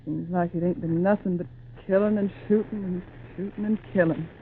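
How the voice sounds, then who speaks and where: A woman's voice: fairly low pitch, steady tone, a little fast, a little hiss or hum, natural voice. A woman playing an anxious, folksy hill farm wife with a rural Southern accent, in 1940s radio acting. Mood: worried, pleading. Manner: folksy, fretful. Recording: narrow, tinny 1940s radio recording.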